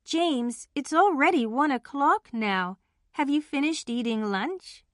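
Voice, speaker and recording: A friendly girl played by a woman speaks scripted textbook dialogue, every word carefully formed. Her voice is fairly high and her pace is slow. The sound is dry and close. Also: expressive, dry recording. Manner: careful, deliberate, clearly enunciated. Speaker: listening test voice actor. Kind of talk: scripted English lesson dialogue.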